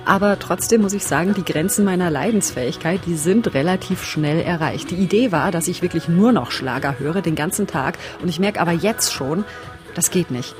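A woman speaking in a slightly low voice, chatty and frank and quick to poke fun at herself. She talks through her challenge in a casual first-person way, with faint music behind her. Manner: chatty, frank, self-mocking. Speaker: radio journalist doing a music challenge.

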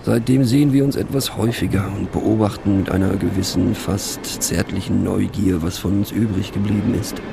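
An actor playing a wry, brooding divorced man who philosophizes aloud in a radio play, with a pensive, mocking, deliberate manner. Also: deep voice, fairly even intonation, vocal fry, noisy background. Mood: rueful, ironic. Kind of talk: radio play monologue, musing aloud to a listener.

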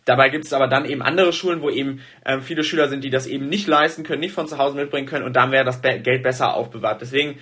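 Man, student representative, rapid speech, bright husky voice, hesitant fillers. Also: mid-range voice, steady tone. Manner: fast, hesitant with many fillers and restarts. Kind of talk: interview answers.

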